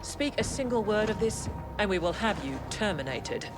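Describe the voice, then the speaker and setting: An actor playing a cold corporate official in a video game, speaking in a formal, measured, condescending and menacing way. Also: mid-range voice, lively intonation, over music, close to an everyday voice. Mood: coldly threatening. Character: cold corporate official addressing a hired operative. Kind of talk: scripted video game dialogue.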